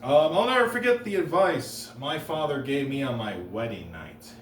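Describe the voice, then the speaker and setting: A man doing deadpan one-liner stand-up comedy on a stage, halting and restarting between jokes. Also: medium pitch, a little hiss or hum. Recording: roomy live room, heard from a distance.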